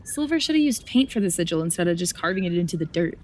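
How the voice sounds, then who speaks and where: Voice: mid-range voice, sing-song, fast, slight background noise, lightly acted. Performer playing a wry traveler, lilting voice. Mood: curious, wry. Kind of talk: audio drama monologue, naturalistic.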